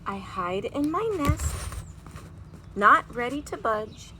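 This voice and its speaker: A woman voicing a small, nervous, excitable baby robin in a dramatic storybook read-aloud. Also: on the high side, slow pace. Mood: nervous, hesitant. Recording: outdoor background noise.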